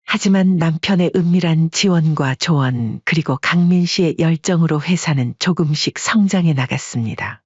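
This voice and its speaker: A woman reads a family drama aloud with a steady storytelling tone and a breathy voice. The recording is dry and somewhat dull, without room sound. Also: deep voice, no echo. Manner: even, storytelling delivery. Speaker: narrator. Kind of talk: scripted story narration.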